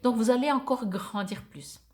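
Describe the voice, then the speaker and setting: A woman's voice: fairly high pitch, moderate pace. A woman giving a weekly oracle card reading, thinking aloud with many hesitations as she reads card texts and comments on them.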